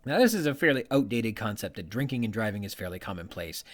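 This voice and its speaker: A man's voice: on the low side, very animated, quick pace. A man hosting a podcast, delivering scripted literary commentary with an emphatic delivery. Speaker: podcast host.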